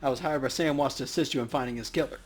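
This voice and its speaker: Husky man playing a video game. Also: medium pitch, racing, soft background music. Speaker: let's play co-host, player. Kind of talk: casual gaming chat, reading out player choices.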